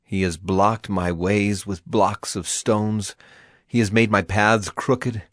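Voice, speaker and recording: An actor playing a grieving, afflicted prophet in a dramatized scripture reading, with solemn, emphatic and deliberate phrasing. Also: male voice, fairly low pitch, little pitch movement, fairly bright tone, no echo, studio quality. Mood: anguished lament. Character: grieving, afflicted male prophet lamenting and praying.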